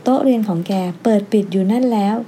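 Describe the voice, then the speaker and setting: A woman's voice: fairly high pitch, quick pace, mellow, a little hiss or hum, lightly acted. A woman voicing an exasperated, indignant female primary school teacher in a storybook, complaining in an emphatic but formally polite way.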